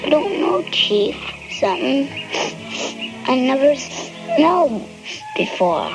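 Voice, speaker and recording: A performer voicing an innocent, eager young animal in an animated film, childlike and guileless, with an American accent. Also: high voice, takes it slowly, creaky, heavily acted, low-quality recording. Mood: curious. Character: eager, innocent young fox and hound puppy. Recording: music behind the voice.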